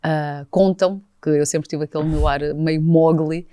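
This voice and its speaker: A woman in her forties, born in Brazil, speaking with a mixed Portuguese-Brazilian accent as an animated guest in a studio podcast. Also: low voice, unhurried, clean tone, no echo. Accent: Portuguese, shifting toward Brazilian Portuguese. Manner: animated, gestures with her hands, does mock voices for quotes. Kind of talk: casual conversational interview. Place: cosy caravan studio set. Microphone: studio mic on a boom arm, with headphones.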